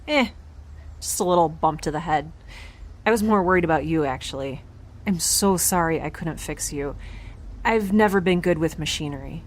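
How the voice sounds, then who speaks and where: A woman playing an excitable, awkward, optimistic young space traveler in a science fiction audio drama, rambling in informal speech. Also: sits low, moderate pace. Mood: upbeat, casual.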